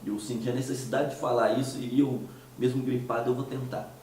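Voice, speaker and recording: A hoarse man with a Mineiro Brazilian Portuguese accent talks through his commentary at a relaxed, unhurried pace. His voice is dark and mellow, with rhetorical questions and hesitant restarts. He sits well back from the mic in a very echoey room, with faint noise underneath. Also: medium pitch, distant mic. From Minas Gerais, Brazil. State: hoarse from a cold. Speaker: host of a commentary show. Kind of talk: casual monologue commentary.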